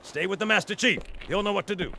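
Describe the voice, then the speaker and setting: An actor playing a gruff, folksy, cocky marine sergeant who barks rousing orders with theatrical swagger in a game. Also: male voice, high-pitched, fast, background noise. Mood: steady, reassuring. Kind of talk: video game cutscene acting. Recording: loud music over the dialogue.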